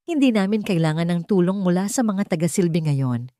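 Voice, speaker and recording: Female reader as haughty hot-tempered bride, Filipino accent, sharp and imperious, dry audiobook drama. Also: fairly low pitch, animated, brisk, no echo, lightly acted. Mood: scornful. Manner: sharp, commanding, scornful.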